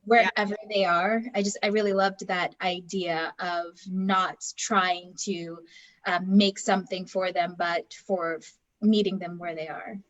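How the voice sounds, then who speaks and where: A woman's voice: on the low side, steady tone, unhurried, a bit bright. A woman chatting off the cuff on a group video call, searching for her words as she goes. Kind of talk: casual, unscripted group talk. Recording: dull, muffled call audio.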